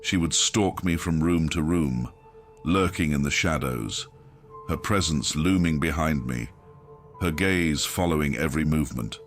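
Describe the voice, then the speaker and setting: Male narrator, extremely low pitch, grave and brooding, scripted horror audiobook over music. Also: a little slow. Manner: grave, brooding, dramatic first-person telling.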